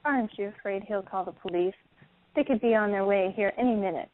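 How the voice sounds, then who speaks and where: An actress plays a worried wife in an audio drama, hesitant and full of questions. Her medium-pitched female voice comes over a narrow, tinny connection with a little noise. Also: fairly quick, lightly acted. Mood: anxious, questioning. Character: anxious, questioning wife held by a menacing man. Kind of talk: radio-play style acting. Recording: noisy, tinny phone-like line.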